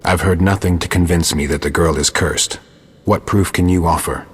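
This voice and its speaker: A man playing a stoic monster hunter in a video game, terse and deadpan, with a gravelly, husky voice. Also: sits low, normal speed. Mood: guarded, skeptical. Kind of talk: scripted video game dialogue. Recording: long cavernous reverb.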